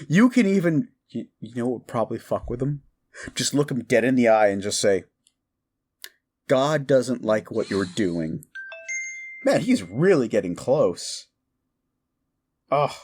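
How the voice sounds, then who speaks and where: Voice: mid-range voice, darkish tone. A performer of unclear sex playing a mischievous, exasperated modern necromancer in a comedic audio roleplay, rambling casually and swearing with incredulity. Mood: scheming glee, then hushed urgency. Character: mischievous, exasperated necromancer prankster, gleefully amoral.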